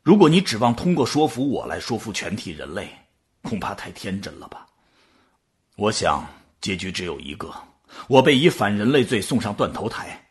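The doubting human in a sci-fi radio play, facing down a machine with dramatic questions and big swings of a lowish voice a man's performance. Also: sing-song, normal speed. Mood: skeptical. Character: skeptical, troubled human man confronting a machine. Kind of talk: science-fiction radio drama acting.

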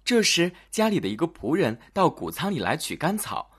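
A storyteller narrating a scripted fairy tale for children in an expressive, dramatic manner. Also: mid-range voice, normal speed, husky.

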